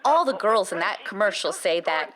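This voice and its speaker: A woman's voice: medium pitch, expressive, normal speed, crowd noise, close to an everyday voice. An actress playing a cheery, doting 1950s housewife and mother, speaking in a wholesome, overly pleasant sing-song. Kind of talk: stylised retro family radio drama.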